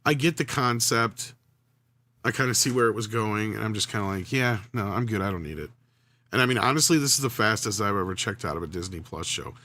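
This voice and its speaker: A man's voice: fairly low pitch, expressive, brisk, sharp tone. A man in casual conversation, giving candid opinions with some hesitation. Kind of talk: casual chat.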